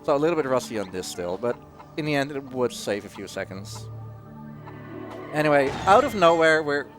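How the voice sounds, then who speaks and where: A man's voice: medium pitch, sing-song, moderate pace, fairly bright tone. A man giving casual, jokey live commentary as he plays through a video game. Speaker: speedrunner. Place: live gaming marathon event. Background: game music.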